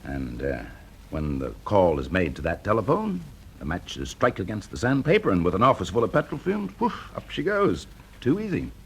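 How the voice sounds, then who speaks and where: An actor with a British accent playing a confident, shrewd fire investigator in a radio drama, brisk, urbane and teasing. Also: male voice, deep voice, wildly swooping pitch, full character voice. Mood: shrewd, accusing. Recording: noisy classic radio drama recording.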